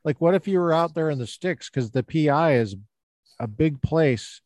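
A man's voice: on the high side, normal speed, a little mellow, no room sound. A man hosting an interview, asking curious and playful questions in casual conversation. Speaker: host.

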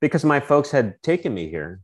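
A man's voice: medium pitch, big pitch swings, fairly quick. A middle-aged man from the Philippines, a guest in a casual interview, reflecting on his youth in a storytelling way with many restarts.

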